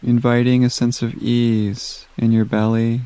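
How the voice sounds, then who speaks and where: A man guides a meditation at an unhurried, very slow pace. His voice is mellow and relaxed, with gentle, soothing instructions separated by long pauses. The sound is dry, with no room echo. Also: medium pitch, smooth.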